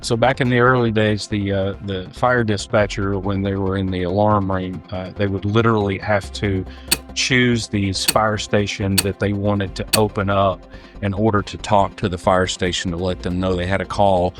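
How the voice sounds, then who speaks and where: A man's voice: on the low side, level tone, normal speed. A man speaking as a fire department official in an interview testimonial, explaining things in a plainspoken, matter-of-fact way. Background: background music.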